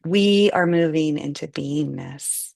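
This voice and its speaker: Female meditation guide, low and gravelly, soothing and unhurried, dry close sound. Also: dry recording. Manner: slow, soothing, gentle instructions.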